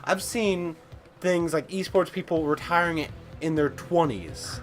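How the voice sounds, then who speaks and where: A young man co-hosting a let's play, chatting casually and haltingly reading game text. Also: on the high side. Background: background music.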